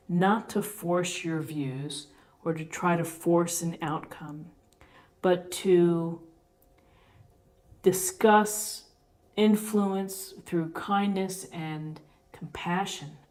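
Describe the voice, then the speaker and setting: A woman giving an unscripted tarot reading, explaining the cards with gentle encouragement and deliberate pauses between phrases. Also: lowish voice. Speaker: tarot reader, host.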